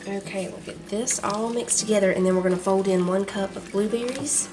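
A woman's voice: medium pitch, fairly even intonation, a little fast, recorded from a distance, over music. A woman with a Southern US accent, hosting a home cooking vlog and chatting with viewers as she cooks. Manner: chatty, addresses viewers as y'all. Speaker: vlog host, home cook. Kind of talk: casual cooking vlog narration. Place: home kitchen.

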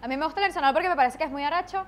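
A young woman, answering interview questions in a giggly, animated way on a lapel mic. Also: sits high, rapid-fire, slight background noise. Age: young adult. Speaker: interview guest. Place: dark studio set, seated.

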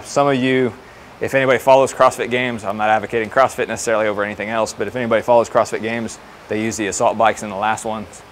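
A man in his thirties talking casually and explaining things as a trainer showing colleagues around a facility. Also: lowish voice. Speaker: fire department fitness trainer giving a facility tour. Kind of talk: informal walk-through presentation to colleagues. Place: indoor gym with hard floors. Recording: clear background noise of a gym.

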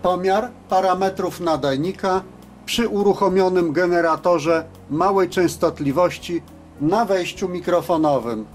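A man giving a scripted technical narration as a reviewer, in a measured, matter-of-fact way. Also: sits high, faint music behind. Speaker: reviewer of CB radios.

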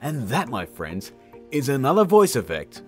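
Man, thirties, casual tutorial host, animated intonation, faint background music. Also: medium pitch, normal speed. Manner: informal, addresses viewers as guys. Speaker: host of audio effect tutorials.

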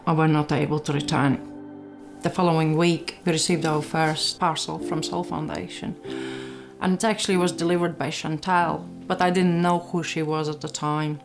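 A woman in her thirties with a Latvian accent, giving a candid, halting personal testimony. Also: low voice, fairly even intonation. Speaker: church member giving testimony. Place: small living room. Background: background music.

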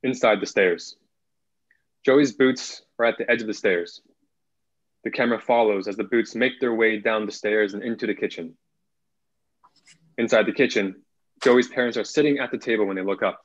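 A young man reading screenplay stage directions in a plain, measured way as narrator. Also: lowish voice, close to an everyday voice. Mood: measured, neutral. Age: young adult. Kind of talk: scripted narration, table read. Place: small room at home, on video call. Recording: dull video call audio.

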